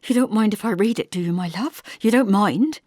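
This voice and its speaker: A woman's voice: mid-range voice, brisk, a little mellow, no room sound, studio quality, pitched above the performer's own voice, lightly acted. A woman voicing an anxious, middle-aged country mother in an audiobook, pleading and eager in her questions.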